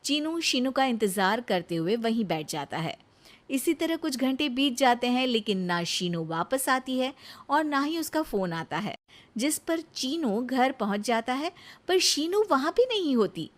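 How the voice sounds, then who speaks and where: A woman's voice: high-pitched, big pitch swings, unhurried. A woman giving scripted narration of a cartoon story as its narrator.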